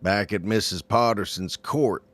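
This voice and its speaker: Low-voiced man narrating a drama. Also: measured pace, a bit bright. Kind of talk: scripted narration of audio drama.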